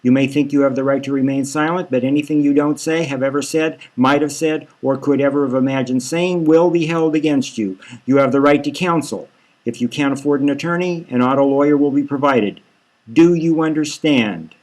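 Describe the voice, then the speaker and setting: Man reading as brisk officious female crime fighter. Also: mid-range voice, a little mellow, close to an everyday voice, low-quality recording.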